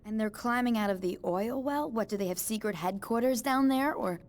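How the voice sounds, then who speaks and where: A woman's voice: on the high side, normal speed, natural voice. An actress playing a chatty, eccentric female new-age mystic with a breezy, airy way of talking in a game cutscene. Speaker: motel resident, self-declared psychic.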